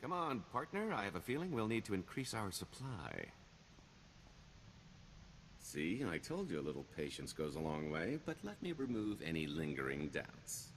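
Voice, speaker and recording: An actor playing a smooth-talking, self-assured con man in a video game, with wry, wheedling salesman patter. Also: low voice, very animated, moderate pace, slight background noise. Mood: smug, wry. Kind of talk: video game dialogue acting.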